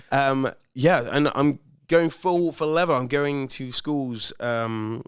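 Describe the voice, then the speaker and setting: Male podcast co-host, around 40, mid-pitched, bantering casually, close dry sound. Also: very slow, smooth, no room sound. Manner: teasing, bantering.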